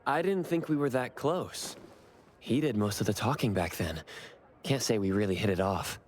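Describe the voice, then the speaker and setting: A man's voice: fairly low pitch, lively intonation, moderate pace, a little hiss or hum, natural voice. A man playing a reserved, polite young man in an anime game, speaking in an understated, hesitant way. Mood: reserved, puzzled.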